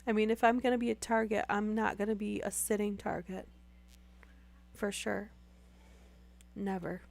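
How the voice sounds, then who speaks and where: A woman's voice: medium pitch, level tone, moderate pace, vocal fry. A young woman chatting casually with live viewers while losing her voice to a sore throat, rambling with many fillers. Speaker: streamer.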